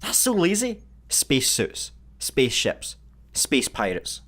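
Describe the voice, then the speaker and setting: A man's voice: mid-range voice, wildly swooping pitch, slow, a bit bright, full character voice. A Scottish man playing a casual, grumbling space traveller in a sci-fi comedy radio play.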